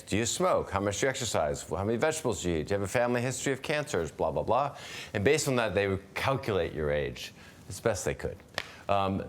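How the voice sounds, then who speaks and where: Deep-voiced man in his fifties hosting. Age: 50s. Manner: thinks aloud with restarts and fillers, asks questions. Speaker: webinar host. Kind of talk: conversational interview.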